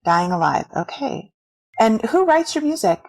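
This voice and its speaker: A woman's voice: on the high side, big pitch swings, moderate pace, a little mellow, poor audio. A woman in her fifties co-hosting a podcast, chatting casually with guests and offering praise. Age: 50s. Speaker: podcast co-host. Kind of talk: casual interview chat.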